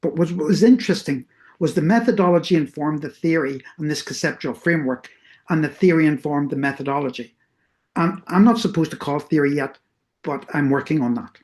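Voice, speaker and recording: A middle-aged presenter with a Northern Irish accent walks listeners through research in an earnest, explanatory tone. The delivery is unhurried and careful, with small self-corrections as ideas are refined mid-sentence. The voice sits at an ordinary middle pitch. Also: a little mellow. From Northern Ireland. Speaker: therapist presenting doctoral research. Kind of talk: academic workshop presentation.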